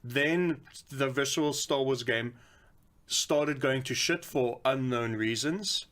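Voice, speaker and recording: Give this voice to a man co-hosting a casual podcast. He talks at an ordinary mid pitch and states his opinions bluntly. Swearing comes easily into his chat. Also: slow, a bit bright. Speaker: podcast co-host.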